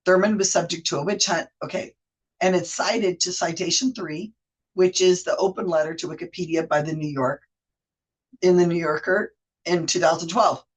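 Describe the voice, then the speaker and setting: Husky-voiced rambling editor with a cold. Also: mid-range voice, steady tone, moderate pace, low-quality recording. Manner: chatty, rambling, self-correcting. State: has a cold. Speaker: Wikipedia editor. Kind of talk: casual screen-share explainer.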